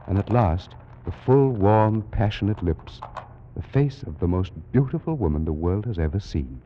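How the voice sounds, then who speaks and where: An actor playing an astonished gentleman student of Egyptology, narrating and speaking dramatically in an old-time radio drama. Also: fairly low pitch, a little hiss or hum. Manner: dramatic, stammering when startled. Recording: muffled old radio recording.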